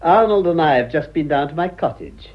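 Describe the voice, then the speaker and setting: An actor playing an older, worldly English gentleman and scheming father in a British radio drama, dryly amused and urbane. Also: fairly high pitch, lively intonation, fairly quick, dull audio. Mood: breezy. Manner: dry, drawling, amused.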